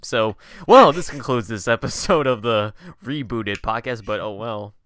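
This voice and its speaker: A man's voice: mid-range voice, unhurried, a bit bright. A young man co-hosting a podcast in casual chat, talking in a hedging, rambling way. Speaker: podcast co-host.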